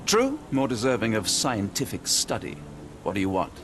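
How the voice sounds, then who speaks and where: An actor playing a loyal, principled Victorian doctor in a video game, British, earnest and formally articulate. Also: male voice, lowish voice, huge pitch swings, normal speed, background noise. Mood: indignant, sardonic. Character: loyal, principled Victorian male doctor, steadfast companion to detective. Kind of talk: video game cutscene acting. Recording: music behind the voice.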